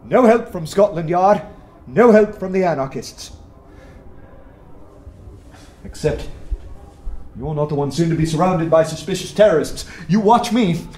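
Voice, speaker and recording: An actor playing a bold undercover detective in a radio drama, sardonic and animated. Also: male voice, a little high, moderate pace, lightly acted. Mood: exultant. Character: wry, bold undercover detective posing as a poet. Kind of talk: full-cast radio drama acting.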